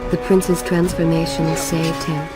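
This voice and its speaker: An actress playing a calm, knowing, mystical storyteller, narrating solemnly and dramatically. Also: female voice, low voice, a little slow, over loud music.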